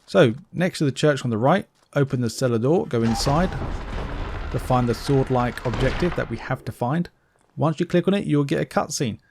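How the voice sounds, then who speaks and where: A man's voice: mid-range voice, lively intonation, moderate pace, creaky, a little mellow. Man doing casual game walkthrough, noisy background. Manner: explains step by step, informal. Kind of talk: casual gameplay commentary.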